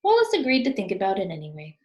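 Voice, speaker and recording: A woman reading a children's storybook aloud as a teacher, expressive and deliberate, explaining and putting questions to her listeners. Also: mid-range voice. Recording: roomy, echoing sound.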